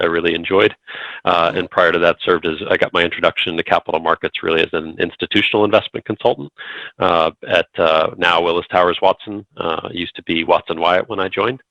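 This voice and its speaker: A man's voice: low voice, pushed and bright, no room sound, muffled recording. A man in his thirties from New Hampshire, an investment firm executive introducing himself in an easygoing way with many fillers. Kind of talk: casual panel introduction.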